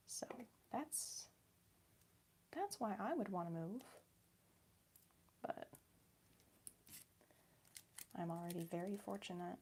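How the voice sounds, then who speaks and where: Soft, gravelly Midwestern woman whispering. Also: on the low side, animated, unhurried, long pauses, a little hiss or hum. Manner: soft-spoken, drifting between whisper and quiet voice; chatty and giggly, answering viewers. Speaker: ASMR creator. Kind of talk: ASMR chat while crafting.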